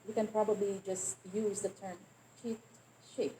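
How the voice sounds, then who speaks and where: A woman's voice: mid-range voice, level tone, moderate pace, dark tone, a little hiss or hum, rough recording. A woman teacher in a discussion, speaking hesitantly with many restarts and fillers. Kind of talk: conversational Q&A.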